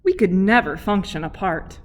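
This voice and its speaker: A woman's voice: mid-range voice, moderate pace, close to an everyday voice. An American woman voicing an inseparable pair of envious storybook chopsticks, exclaiming wistfully. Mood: wistful envy.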